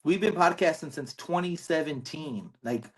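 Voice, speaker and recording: A man's voice: on the high side, moderate pace, husky, rough recording. A man, hosting a casual podcast and chatting with lots of slang. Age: 30s. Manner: laid-back, boastful, lots of slang. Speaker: podcast host.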